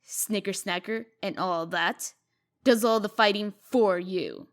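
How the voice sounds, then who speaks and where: A woman playing a brisk, proud, feisty young woman in a comic dub, with an assertive delivery. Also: medium pitch. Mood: determined.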